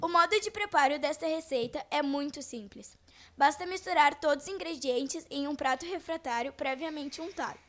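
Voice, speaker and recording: A woman's voice: sits high, normal speed, fairly bright tone. A girl of about twelve with a Brazilian accent reading a scripted recipe segment on old-time radio. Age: child, about twelve. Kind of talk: scripted 1940s-style radio presenting.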